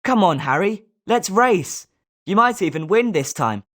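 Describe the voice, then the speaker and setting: A woman's voice: medium pitch, lively intonation, a little slow. A woman with a British accent voicing a confident, sporting schoolboy wizard with a competitive streak in a video game. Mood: confident, challenging. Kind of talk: scripted video game character lines.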